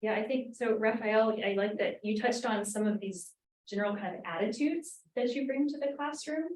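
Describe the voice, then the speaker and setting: A woman's voice: on the high side, normal speed, a little mellow, a little hiss or hum. Woman talking from across a roomy space. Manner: thoughtful, many fillers like "um" and "like". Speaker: graduate language instructor. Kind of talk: roundtable discussion. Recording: roomy, from far off.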